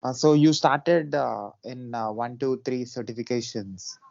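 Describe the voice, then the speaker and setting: A man's voice: medium pitch, measured pace, vocal fry, a little mellow, no room sound. A man hosting a podcast, asking his guest questions in a hesitant way with repeated words. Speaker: podcast host. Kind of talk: interview questions. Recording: dry lo-fi call audio.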